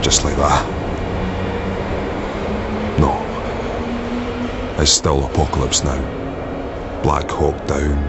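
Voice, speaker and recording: An actor playing an embittered, brooding ex-soldier bent on revenge in a radio drama monologue, terse and deliberate. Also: male voice, rumbling low, full character voice. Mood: brooding, defiant. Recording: muffled, with loud background noise.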